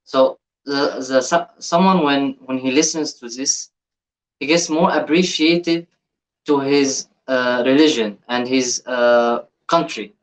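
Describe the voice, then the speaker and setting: A man from Egypt with an Egyptian Arabic accent and Arabic as his first language, hosting a reaction video in an earnest, halting way that often repeats and restarts. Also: mid-range voice, rough recording. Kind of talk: casual reaction commentary.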